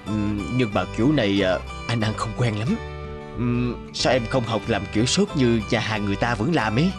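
A man's voice: fairly low pitch, moderate pace, natural voice. A man voicing a suave, patronising rich director. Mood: evasive. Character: wealthy male company director, smooth-talking, dismissive lover. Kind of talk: Vietnamese cartoon dubbing. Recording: guitar music under the voice.

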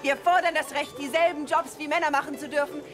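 A woman's voice: high-pitched, steady tone, quick pace, fairly bright tone, soft background music. An actress playing a campaigning woman in a comedy film, rallying the others in a declamatory way.

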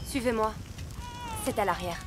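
An actress playing a concerned young Gaulish village woman, simple and attentive, in an animated historical drama. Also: medium pitch, moderate pace, natural voice. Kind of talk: animated historical docudrama acting. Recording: noisy ambience with clicks.

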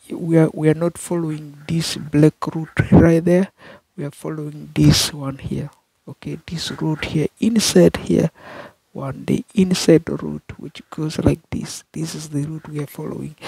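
A middle-aged man who is not a native English speaker, rambling slowly off camera and repeating words over and over as he walks through his screen and apartment on a phone camera. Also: a little high, gravelly, warm tone, husky, dry recording, low-quality recording. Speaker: channel host. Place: apartment room with a window view. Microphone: phone camera held off-camera.

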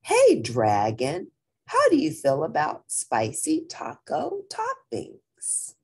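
A woman with a husky voice reading a picture book aloud to young listeners in an animated, deliberate manner, with brief asides. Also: deep voice. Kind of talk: expressive children's read-aloud with brief asides.

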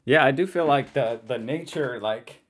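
A man's voice: medium pitch, moderate pace, a little hiss or hum. A young American man hosting a reaction video, talking casually with rambling, self-questioning asides from a small room. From United States.

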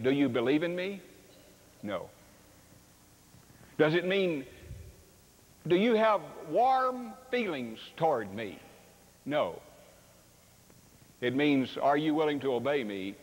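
An older man preaching a sermon on a church stage, animated and acting out dialogue. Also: mid-range voice, takes it slowly, pauses often. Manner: animated, acts out dialogue with sweeping gestures. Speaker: pastor. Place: large church sanctuary stage. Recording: long reverb of a large hall.

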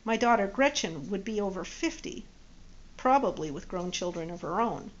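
A woman's voice: mid-range voice, lively intonation, normal speed, natural voice. Woman reader doing an earnest, eager middle-aged guy, muffled lo-fi sound. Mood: thoughtful. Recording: dull lo-fi audio.

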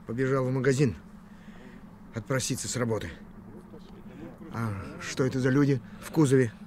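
A man's voice: medium pitch, fairly even intonation, moderate pace, darkish tone, a little hiss or hum, natural voice. An actor playing an anxious father in a radio drama, speaking in a subdued, worried way. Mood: stunned, worried. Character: anxious father of a missing boy. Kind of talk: Soviet radio drama acting.

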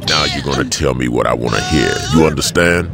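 An actor playing a middle-aged gangster enforcer in a video game, gruff, gravelly, cool and menacing. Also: male voice, very deep voice, a little fast. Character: gruff, self-assured veteran gangster enforcer, male. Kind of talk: video game character acting. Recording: loud vehicle and ambient noise behind.

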